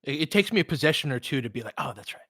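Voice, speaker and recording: A man's voice: fairly high pitch, animated, racing, fairly bright tone, no echo, clean studio sound. A man doing casual live basketball commentary and chatting with viewers, informal and slangy with some swearing. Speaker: host of a fan watch-along.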